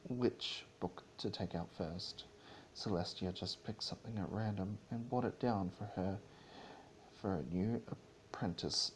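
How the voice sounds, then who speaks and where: A man's voice: on the low side, a little hiss or hum, poor audio. A man giving an amateur dramatic reading of a story, stumbling over and repeating words as he goes. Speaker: amateur reader of fan fiction, channel host.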